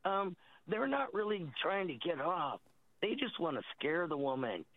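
Husky woman on a tinny phone line. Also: lowish voice, sing-song, no room sound. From California. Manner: rambling, halting, with many restarts. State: husky from a cold. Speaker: caller. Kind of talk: phone-in call.

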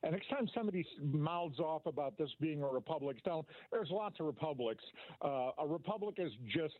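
A man calling into a radio show, talking haltingly with many pauses and fillers. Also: fairly high pitch, lively intonation, dry recording. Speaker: radio caller. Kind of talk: phoned-in talk radio call. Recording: dry, tinny phone line.

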